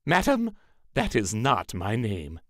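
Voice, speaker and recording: A man's voice: lowish voice, wildly swooping pitch, slow pace, fairly bright tone, no room sound, studio quality, exaggerated voice. A man voicing a merry, sly little dwarf in a storybook, with a theatrical, singsong delivery. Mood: taunting. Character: little male dwarf, merry, sly bargaining magical creature. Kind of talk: storybook character voice.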